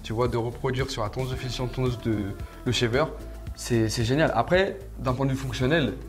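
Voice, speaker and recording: A man's voice: medium pitch, steady tone, fairly quick. Young man chatting over music. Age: young adult. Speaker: barber and product reviewer, co-host. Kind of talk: casual chat, product review. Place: indoor studio. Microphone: lapel. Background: background music.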